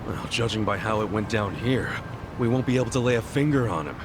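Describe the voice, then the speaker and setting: A man's voice: fairly low pitch, a little fast, noisy background, natural voice. Man playing a swingy, hot-headed yakuza underdog. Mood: resigned, puzzled. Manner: expressive, blunt, colloquial. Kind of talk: English video game dub acting.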